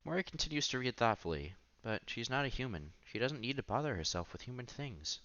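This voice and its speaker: A man's voice: medium pitch, big pitch swings, a little fast, fairly bright tone, dry recording, dull audio. A man hosting a casual read-aloud playthrough of a visual novel, reading narration and dialogue with occasional stumbles and side comments. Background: background music.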